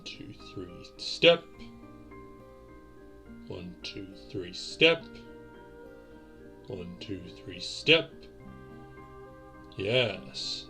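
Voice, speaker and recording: A man playing a courtly, centuries-old vampire boyfriend in a romantic audio roleplay, speaking in formal, old-fashioned, deliberate phrases. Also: lowish voice, a bit bright, full character voice. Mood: tender, guiding.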